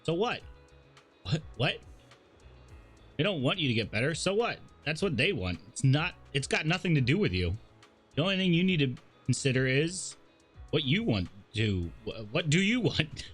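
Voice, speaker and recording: A man's voice: a little high, big pitch swings, normal speed, fairly bright tone, breathy edge, faint music behind. A man livestreaming a story game, reading its dialogue aloud with casual asides and the odd stumble. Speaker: streamer playing a story game.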